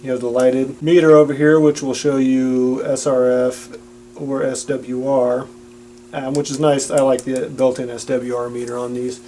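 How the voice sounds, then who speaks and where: A man's voice: medium pitch, little pitch movement, moderate pace, dark tone. A man giving a plain-spoken, instructional product demonstration. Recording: audible background noise with hum.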